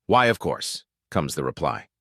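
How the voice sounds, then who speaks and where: A man's voice: extremely low pitch, no echo, clean studio sound. A man reading a string of jokes aloud, shifting his voice for the people in them. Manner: lively storytelling, quick shifts into character voices. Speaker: joke teller.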